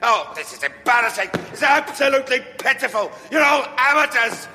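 A man's voice: very high-pitched, very animated, brisk, pushed and bright, heavily acted. An actor playing a harsh, domineering theatre director, scornful and theatrical as he berates his cast. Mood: furious, scornful. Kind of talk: scripted audio drama. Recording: reverberant hall, theatre stage acoustic.

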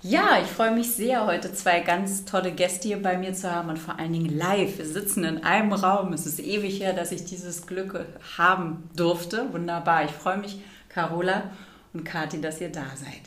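A woman's voice: fairly low pitch, normal speed, a bit bright. A woman from Berlin speaking German as the host of an interview podcast, introducing and questioning her guests.

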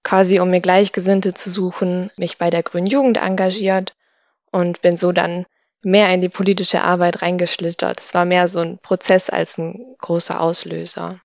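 A woman's voice: lowish voice, flat delivery, normal speed, gravelly, no room sound, dull audio. A woman in her twenties talking casually as a guest on a discussion panel. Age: 20s. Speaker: panel guest, city councillor. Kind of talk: casual interview talk.

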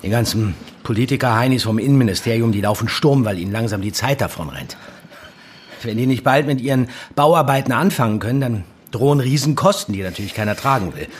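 A man's voice: medium pitch, expressive, fairly quick, slight background noise, close to an everyday voice. A man playing a brusque, probing handler of an undercover informant in a naturalistic audio drama, colloquial and prone to swearing. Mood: conspiratorial, irritated.

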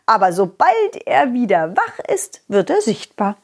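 A woman's voice: on the high side, very animated, heavily acted. A woman voicing a cheerful, hospitable king in a children's story, full of exclamations and stretched words. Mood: amused, explaining. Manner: exclamatory, leisurely, drawn-out words. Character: cheerful, hospitable king, fond host of a ghost.

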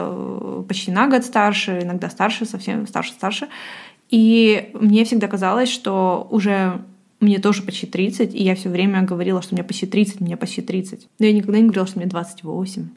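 A woman's voice: mid-range voice, steady tone, normal speed, creaky, a little mellow. A woman in her late twenties co-hosting a podcast, chatting casually and self-ironically, thinking aloud with fillers. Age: late 20s. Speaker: podcast co-host. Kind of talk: casual chat. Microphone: podcast microphone.

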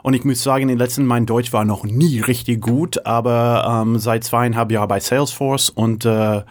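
A middle-aged American man talking in accented, halting German as an interview guest. He searches for words, fills gaps with "ähm", and corrects himself as he goes, in an even, mid-range voice. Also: medium pitch. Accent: American English. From San Francisco, USA. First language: English. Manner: halting German with frequent fillers and self-corrections. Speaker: guest, tech executive. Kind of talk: conversational interview answers.

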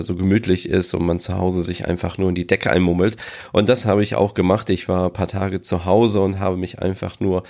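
A man's voice: sits low, vocal fry, a little mellow. A man with a cold, hosting a casual solo podcast and filling gaps with many ums. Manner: hesitant, many ums and uhs. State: has a cold. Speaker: podcast host.

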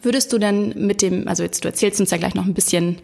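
A woman's voice: mid-range voice, fast, creaky, a little mellow. Engaged woman hosting an interview. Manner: engaged, asks long open questions. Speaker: host. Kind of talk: conversational interview.

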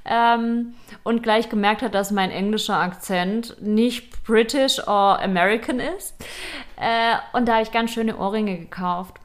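A woman's voice: a little high, a little slow. A woman co-hosting a fashion podcast, telling shopping stories in a chatty, self-deprecating way. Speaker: podcast co-host. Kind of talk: casual chat.

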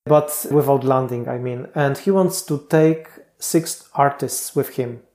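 A man's voice: mid-range voice, mellow. A man with a strong Polish accent, a Mars mission candidate answering interview questions in a thoughtful way, often stopping to restart. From Poland. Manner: thoughtful, frequent restarts and pauses.

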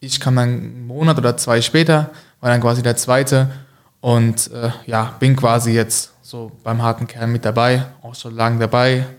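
A young man talking as a podcast guest in a relaxed way, pausing often with hesitations. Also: medium pitch. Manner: relaxed, many "äh" hesitations, quick-witted. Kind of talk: casual podcast chat.

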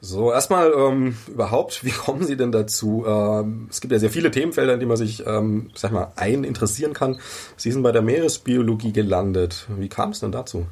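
A man's voice: medium pitch, a little fast, darkish tone, slightly rough. A man hosting an interview podcast, asking loose, chatty questions with many fillers. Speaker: podcast host. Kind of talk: casual interview moderation.

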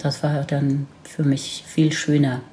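A woman's voice: deep voice, little pitch movement, dark tone, low-quality recording. A woman in her seventies with a Baden accent, a grandmother unhurriedly telling her life story on a podcast. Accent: Baden regional German. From near Heidelberg, Germany. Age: 70s. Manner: reminiscing, unhurried, with laughs. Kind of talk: casual storytelling.

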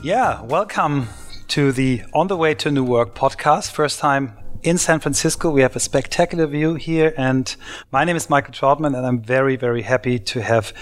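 A man with a German accent hosting a live interview, somewhat halting and repeating his words. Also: mid-range voice, faint music behind. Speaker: podcast host and interviewer. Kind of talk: live podcast interview in English.

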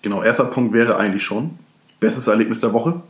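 A man co-hosting a casual podcast from his shared flat, laid-back, chiming in with short agreeing remarks. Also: fairly low pitch, fairly quick, echoey room. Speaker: podcast co-host. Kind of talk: casual chat.

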